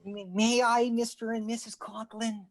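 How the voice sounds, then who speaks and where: A man's voice: very high voice, level tone, moderate pace, no room sound. An actor playing a lovestruck, cheeky teenage boy in an old-time radio comedy, eager and awkward. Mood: smitten. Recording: loud background noise, clicks.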